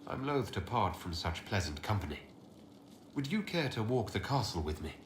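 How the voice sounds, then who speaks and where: A man's voice: lowish voice, very animated, normal speed, reverberant, slight background noise. An actor playing a resolute, courteous male leader in a video game, speaking in a composed and formal way. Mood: wry. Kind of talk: scripted video game dialogue.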